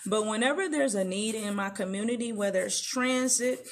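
A woman's voice: a little high, moderate pace, a little hiss or hum. An American woman being interviewed as a political candidate, speaking emphatically and colloquially, repeating phrases to drive points home. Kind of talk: campaign interview, conversational.

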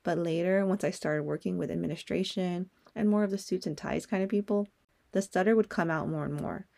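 A woman's voice: fairly low pitch, brisk, gravelly, darkish tone. A young woman hosting a solo podcast, talking in a candid, personal way and swearing now and then. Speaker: podcast host.

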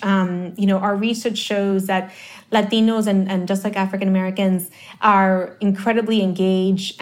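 A woman from the Dominican Republic talking about marketing in a casual conversation, thinking aloud with many fillers and restarts. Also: medium pitch. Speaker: marketing guest.

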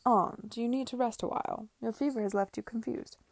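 A woman voicing an insincere, petulant young gentleman in a period audiobook. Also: on the high side, fairly even intonation, fairly quick, vocal fry, pitched above the performer's own voice. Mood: panicked.

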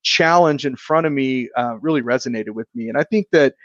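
A man's voice: mid-range voice, fairly quick, dry recording, muffled recording. Earnest man in his thirties, reflective. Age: 30s. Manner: earnest, self-reflective, with frequent restarts. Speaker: insurance agency owner, guest. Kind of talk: casual business interview.

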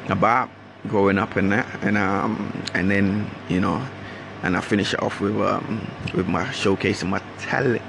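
A man with a London accent that still carries Caribbean traces rambles through a solo podcast intro in loose, slangy talk. His low voice has a gravelly fry. An air-conditioner hum and steady noise sit under the recording. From Guyana. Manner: rambling, slangy, restarts often. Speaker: podcast host. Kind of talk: casual solo monologue.

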